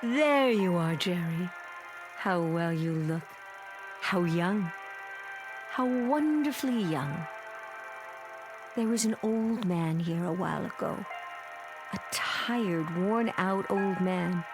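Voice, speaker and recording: An actress playing a wistful former movie star in an old television drama, slow and theatrical in her longing. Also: fairly low pitch, animated. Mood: dreamy yearning. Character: wistful, delusional former film actress pining for the past. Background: prominent music.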